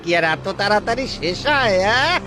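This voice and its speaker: A man's voice: high voice, animated, a little fast, pushed and bright. A man playing a gullible, boastful lottery dreamer with a naive, exclaiming manner in a comedy cartoon. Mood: puzzled, smug. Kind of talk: exaggerated comedy cartoon voice acting.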